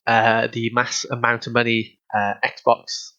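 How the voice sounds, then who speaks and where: A man's voice: mid-range voice, completely flat delivery, a little slow, sharp tone, dry recording, muffled recording. A young man co-hosting a podcast, hesitant and giggly as he explains things. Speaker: podcast co-host. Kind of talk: casual chat.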